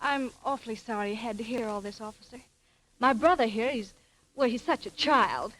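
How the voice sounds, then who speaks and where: A woman's voice: a little high, a little fast, fairly bright tone, poor audio. An actress playing a petulant, theatrical teenage rich girl in a vintage radio comedy. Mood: flustered, apologetic. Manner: whiny, indignant, theatrical.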